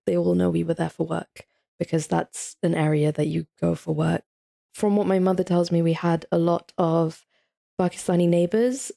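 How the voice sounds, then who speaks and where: Guest, woman, 22, from England; chatty and laughing, low mellow voice with vocal fry. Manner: chatty, laughs often, many "like" fillers. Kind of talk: casual podcast chat.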